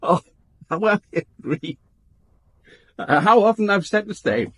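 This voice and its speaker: A man's voice: sits high, lively intonation, unhurried, a bit bright, no room sound, full character voice. An actor playing a wry, world-weary police inspector who narrates his own story in a radio drama. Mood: wry, probing. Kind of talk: radio drama acting with first-person narration.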